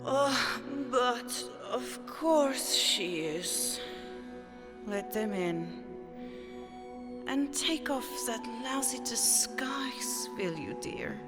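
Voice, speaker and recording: A woman's voice: a little high, lively intonation. A woman playing an imperious, amused pirate queen in a fantasy audio drama, speaking in grand, formal phrases. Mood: weary command. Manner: slow, grandiose, formal speech. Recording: long reverb hall, loud music behind. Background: music.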